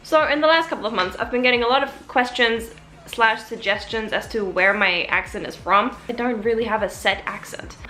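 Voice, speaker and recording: Young woman vlogger from Switzerland, bright tone, mixed American-British accent, lively and chatty. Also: a little fast, soft background music. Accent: mixed American and British English. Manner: chatty and animated, with frequent pitch jumps. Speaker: YouTuber. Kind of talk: casual vlog talk to camera.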